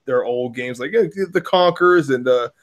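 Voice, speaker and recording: A man with a hoarse voice chatting casually about video games, rambling and cursing with many restarts. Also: mid-range voice, normal speed. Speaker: podcast co-host. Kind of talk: casual gaming chat.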